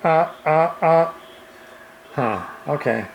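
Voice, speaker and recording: Halting, slow-talking folksy man over radio noise. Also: on the high side, animated, lo-fi sound. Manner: halting, folksy plain-spoken. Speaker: radio repair hobbyist demonstrating a CB radio. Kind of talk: casual off-the-cuff demonstration. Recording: clear background radio noise and hiss.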